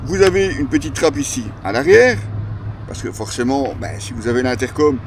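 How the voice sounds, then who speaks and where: A man's voice: medium pitch, animated, normal speed. Belgian French man reviewing gear outdoors, noisy background. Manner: hesitant, explanatory, conversational. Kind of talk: casual unscripted product review to camera. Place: outdoors, stopped during a motorcycle ride. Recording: clear outdoor ambience and traffic noise.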